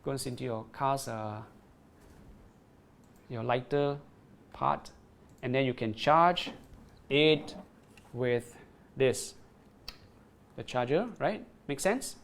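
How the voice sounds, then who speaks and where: A man's voice: medium pitch, takes it slowly, gravelly, pauses often, a bit bright. A man presenting a product on a livestream, demonstrating it and reading viewer comments, often repeating words and checking in with "right?".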